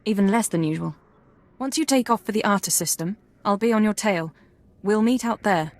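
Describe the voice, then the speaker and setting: An actress playing a self-assured, analytical intelligence officer in a video game, formal and controlled. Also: female voice, medium pitch, moderate pace, close to an everyday voice. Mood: curt. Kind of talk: video game drama acting.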